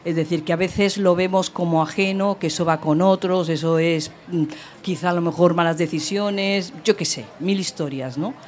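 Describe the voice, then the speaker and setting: A woman's voice: on the low side, fairly even intonation, moderate pace, a little mellow. A woman with an accent from Spain talking casually in a panel discussion, often adding short tag questions. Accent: Spanish, from Spain. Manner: conversational, frequent tag questions. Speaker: panel participant.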